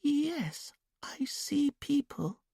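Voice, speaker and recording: A woman's voice: high voice, very animated, creaky, no echo, studio quality, pitched above the performer's own voice, exaggerated voice. A whispery, childlike entranced woman. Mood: dreamy trance. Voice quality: quiet sing-song, whispery. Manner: slow, drifting answers. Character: hypnotized young woman, childlike and dreamy.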